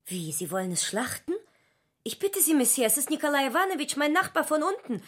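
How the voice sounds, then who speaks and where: A woman playing an earnest, anxious heroine in a German radio drama, with a husky voice. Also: high-pitched, very animated, a little fast. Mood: alarmed pleading.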